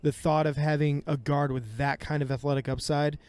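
Man, slightly high voice, analytical, talkative, dry close sound. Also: fairly even intonation, a little fast, a little mellow, smooth, no echo. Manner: talkative, analytical, laughs easily. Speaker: podcast co-host. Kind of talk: casual sports analysis.

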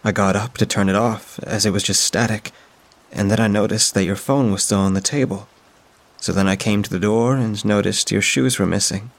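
A man voicing a caring middle-aged woman, a friend of the family, speaking in a gentle, soothing, concerned way. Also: on the low side, fairly even intonation, moderate pace, vocal fry.

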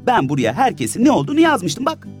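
A man voicing an eager little child who shouts out answers. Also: high voice, wildly swooping pitch, fast, faint music behind, pitched above the performer's own voice.

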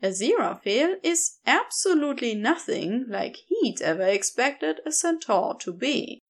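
A woman's voice: fairly high pitch, very animated, a little slow. A woman narrating a story as an audiobook, reading expressively from a script.

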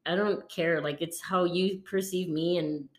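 A woman in her thirties, a guest in a casual chat, talking in a relaxed, rambling way full of fillers. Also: fairly low pitch, a little slow, crowd noise. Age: 30s. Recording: roomy echo.

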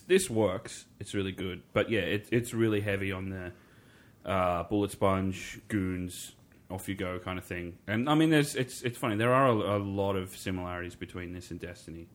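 A man co-hosting a gaming podcast, rambling casually with lots of fillers and restarts. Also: deep voice. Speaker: podcast co-host.

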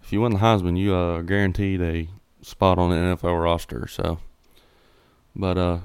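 A man's voice: sits low, flat delivery, normal speed, smooth, dry recording. A man co-hosting a podcast, chatting casually about college football and rambling through his thoughts. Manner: rambling, restarts mid-thought. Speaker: podcast co-host. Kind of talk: casual sports chat.

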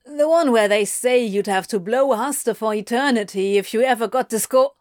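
A woman's voice: a little high, moderate pace, a little mellow, no echo, clean studio sound, lightly acted. A woman voicing an impulsive, snappish male snake demon in an audiobook story, blurting and yowling.

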